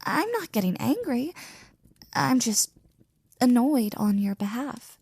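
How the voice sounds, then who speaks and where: A woman playing a devoted, flirty and jealous maid in an intimate ASMR roleplay, speaking softly and close to a whisper with teasing, courtly wording. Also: a little high, sing-song, takes it slowly, no echo, exaggerated voice. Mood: indignant, protective. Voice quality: soft, breathy, often near whispering. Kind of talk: ASMR roleplay, intimate one-sided scene.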